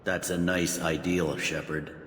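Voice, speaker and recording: Low, world-weary cop in an echoey room. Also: male voice, steady tone, unhurried, fairly bright tone. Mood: matter-of-fact. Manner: plain-spoken, matter-of-fact. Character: world-weary, matter-of-fact human police officer, male, practical. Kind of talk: video game voice acting. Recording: echoey hall, faint noise.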